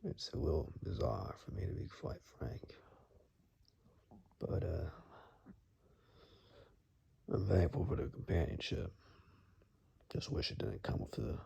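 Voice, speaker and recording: A man playing a reclusive, kindly but gruff woodsman in an ASMR roleplay, murmuring haltingly and gently to the listener, sometimes in a whisper, with dry teasing. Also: sing-song, exaggerated voice. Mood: gentle, dry. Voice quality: very deep, gravelly. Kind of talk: ASMR roleplay, soft intimate monologue to the listener.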